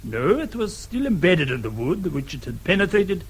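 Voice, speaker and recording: A man's voice: high voice, sing-song, moderate pace, slight background noise. An actor playing a precise, pedantic forensic expert in a radio drama, formal and didactic as he gives evidence. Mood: precise, authoritative.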